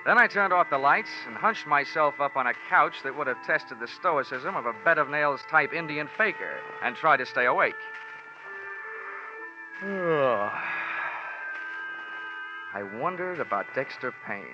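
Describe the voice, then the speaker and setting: An actor playing a wry, world-weary insurance investigator in a 1940s radio drama, deadpan and wisecracking, on a dull vintage recording. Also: male voice, a little high, normal speed, few pauses, music in the background, lightly acted. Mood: wry narrating. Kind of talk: 1940s radio detective acting and narration.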